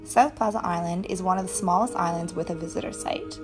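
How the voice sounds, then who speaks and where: A woman's voice: fairly low pitch, fairly quick. A woman reading scripted narration as a voice-over. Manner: even, informative read. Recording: voice-over with background music.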